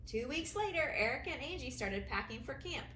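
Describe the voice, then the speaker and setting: A woman teacher reading children's stories aloud in an expressive, sing-song way for young listeners. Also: high voice, brisk. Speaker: kindergarten teacher reading her own stories. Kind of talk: animated children's storybook reading. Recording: roomy echo, faint background noise.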